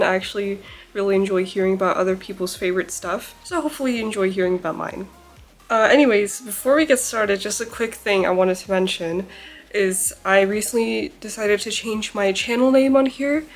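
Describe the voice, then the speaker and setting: A young woman vlogging casually to camera at home, chatty and bubbly with lots of laughs and fillers, her voice a little off from a recent illness. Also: mid-range voice. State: recovering from illness, slightly off voice. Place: indoors at home by a plain wall.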